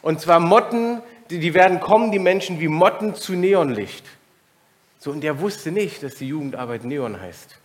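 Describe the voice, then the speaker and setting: A man's voice: fairly high pitch, animated, moderate pace. German man preaching casually to a congregation. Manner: informal and personal, many filler sounds. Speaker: guest preacher. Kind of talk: church talk to a congregation.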